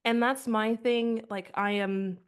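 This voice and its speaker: A woman's voice: a little high, steady tone, takes it slowly, clean tone. A woman talking casually as a podcast guest, chatty with lots of fillers. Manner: chatty, filler-heavy, laughs often. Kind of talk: casual conversation.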